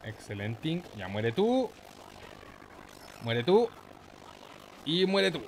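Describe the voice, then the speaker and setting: Guy doing casual gameplay commentary, kinda high voice, slangy and cocky, game noise behind him. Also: big pitch swings, drawn-out words, poor audio. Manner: slangy, swearing, mock-boastful. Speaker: gameplay host.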